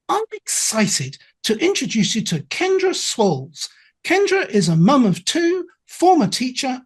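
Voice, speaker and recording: A middle-aged English woman hosting a business podcast, chatty and effusive. Her voice is husky and a little breathy. Her pitch moves up and down a lot as she talks. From England. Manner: chatty, effusive, with playful asides. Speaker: podcast host and accountability coach. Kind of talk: podcast interview.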